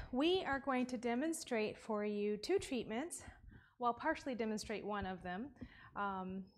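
A young woman talks through a home health treatment at the front of a church. She speaks in a practical, conversational way and mentions her own habits, and her voice sits a little high. She is heard from a distance across the room. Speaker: health seminar presenter. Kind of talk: casual demonstration talk. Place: church sanctuary, standing at the front.

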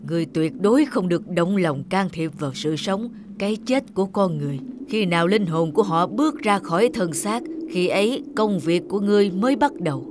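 A woman's voice: fairly low pitch, lively intonation, brisk, few pauses, background noise, lightly acted. A woman voicing a cold old master Grim Reaper in a cartoon, solemn and commanding. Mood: cold, stern. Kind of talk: animated fairy-tale dub.

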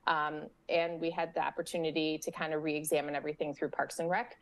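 A middle-aged woman, a local parks and recreation organizer, talking on a video call from home, friendly and often pausing with fillers. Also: low-pitched, little pitch movement, poor audio. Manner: friendly, with frequent fillers and restarts. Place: home room with window blinds. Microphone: webcam or laptop mic on a video call.

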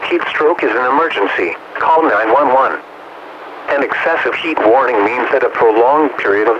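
A man's voice: medium pitch, lo-fi sound. Synthetic male weather radio announcer, noisy signal. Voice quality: synthetic text-to-speech voice. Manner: formal, measured announcing in clipped phrases. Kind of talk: scripted weather broadcast narration. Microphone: direct recording from weather radio receiver.

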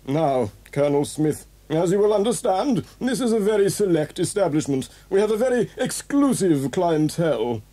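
Prim, worried hotel owner, audio drama. High, soft-edged voice, measured and formal. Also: male voice, big pitch swings. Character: anxious, prim hotel owner pleading for help. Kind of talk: 1980s children's audio drama acting.

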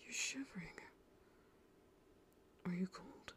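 A woman voicing a gentle, caring teenage boy in an anime audio roleplay, soothing a partner in a breathy voice that often drops to a whisper. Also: on the low side, lively intonation. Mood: tender concern. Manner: soothing, tender, slow.

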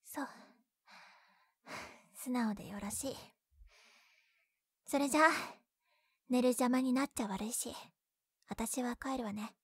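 A woman voicing a bashful, secretly caring tsundere young childhood friend in close-up ASMR roleplay, breathy and often whispering, scolding yet tender. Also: fairly high pitch, a little slow, pauses often, a little mellow, no room sound. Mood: gentle, bashful. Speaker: voice actress in roleplay audio. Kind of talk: Japanese ASMR situation-voice roleplay. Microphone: close binaural microphone, dry.

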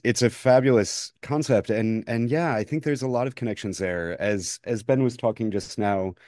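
A man's voice: fairly low pitch, darkish tone, dry recording, clean studio sound. A man speaking as a podcast guest, reflective and earnest, repeating words as he thinks. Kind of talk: reflective personal interview talk.

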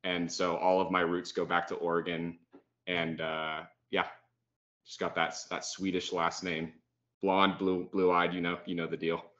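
A man's voice: low voice, flat delivery, a little slow, fairly bright tone. An American man in his thirties, a podcast guest on a video call, talking in a laid-back way with lots of "like.". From United States. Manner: laid-back, says "like" a lot. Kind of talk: casual video-call interview. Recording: muffled video-call audio.

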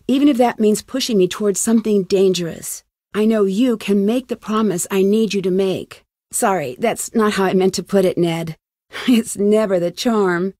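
A woman's voice: medium pitch, a little mellow, no echo, natural voice. Woman playing earnest young sleuth, dry close sound. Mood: earnest, pleading. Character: earnest, determined young female amateur detective. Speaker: lead character in a detective adventure game. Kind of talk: video game voice acting, conversational dialogue.

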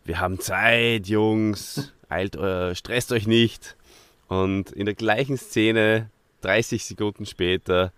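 A man's voice: lowish voice, steady tone, slow, a bit bright, clear, resonant tone, no echo. A man hosting a podcast in a casual, joking review chat. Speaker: podcast host.

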